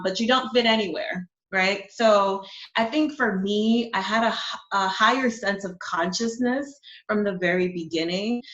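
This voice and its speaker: A woman's voice: medium pitch, fairly bright tone. Confident woman guest in a roomy space. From Honduras. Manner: confident, emphatic, reflective. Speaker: marketing strategist, guest. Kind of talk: interview conversation. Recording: dull, roomy sound.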